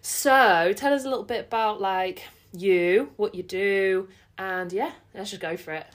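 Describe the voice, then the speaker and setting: A woman's voice: medium pitch, measured pace. A woman co-hosting a casual podcast, who tells stories with lots of laughter and the odd swear word. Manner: laughing, swearing, chatty storyteller. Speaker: podcast co-host.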